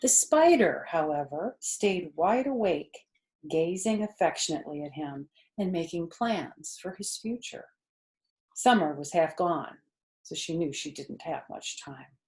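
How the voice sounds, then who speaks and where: A woman storyteller narrating a children's book in an expressive reading style. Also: on the low side, a little slow, poor audio.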